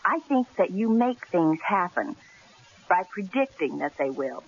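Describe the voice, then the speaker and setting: An actress playing an earnest, sharp newspaper secretary in a radio drama, affectionate but uneasy, with expressive delivery. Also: female voice, lowish voice, a little slow, heavily acted. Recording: muffled old radio broadcast with hiss.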